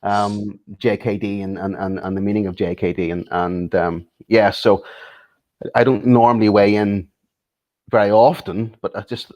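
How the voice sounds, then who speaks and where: A man's voice: lowish voice, steady tone, normal speed, a little mellow, dull audio. A man guesting on an interview show as a martial arts instructor, speaking thoughtfully with many ums and restarts. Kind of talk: casual interview conversation.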